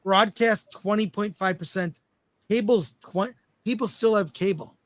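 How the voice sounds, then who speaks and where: A man's voice: high-pitched, a little slow, no room sound. A man hosting a casual panel discussion, reading out and commenting on statistics. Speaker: host of panel show.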